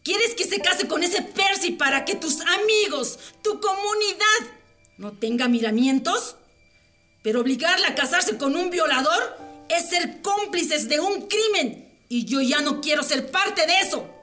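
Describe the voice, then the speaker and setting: A woman's voice: extremely high pitch, expressive, unhurried, bright, forceful tone, faint music behind, heavily acted. A woman acting a defiant, long-mistreated wife and mother in a radio soap, firm and emotional. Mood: defiant, accusing.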